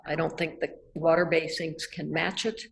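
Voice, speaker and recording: Older woman, somewhat low pitch, reflective and measured, video call webcam. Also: flat delivery, vocal fry, a little hiss or hum. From Nebraska, United States. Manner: reflective, measured, with soft laughs. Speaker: artist guest. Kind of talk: thoughtful conversational answers. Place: art gallery, indoors.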